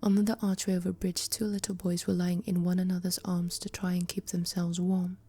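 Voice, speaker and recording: A woman whispering a fairy tale aloud, in a slow, even storytelling manner. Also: fairly low pitch, breathy edge. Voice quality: whispering throughout. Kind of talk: whispered bedtime story reading.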